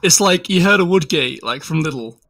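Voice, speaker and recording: A man's voice: fairly high pitch, lively intonation, moderate pace, bright, forceful tone. A young man from the north of England, a student, chatting in a laid-back, slangy, sweary way on a stream. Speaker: student guest. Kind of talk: casual chat on a live stream.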